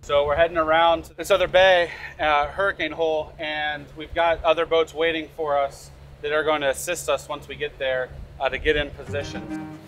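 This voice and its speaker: A man in his thirties hosting a sailing vlog, explaining each step out loud as he works on deck outdoors. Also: fairly high pitch, little pitch movement, normal speed, faint music behind. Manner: practical, talks through each step while working. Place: outdoors on a catamaran deck and dinghy. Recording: wind and water noise.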